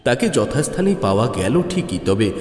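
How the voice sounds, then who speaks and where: A man narrating a horror story in the first person, expressive and measured in his storytelling. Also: medium pitch. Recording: echoey reverb, music underneath.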